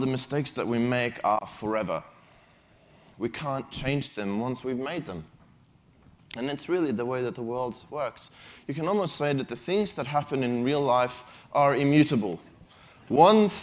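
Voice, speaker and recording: Swedish-accented male conference speaker, chatty and self-deprecating, thin lo-fi stage recording. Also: medium pitch. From Sweden. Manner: conversational and self-deprecating, frequent restarts. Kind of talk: tech conference talk.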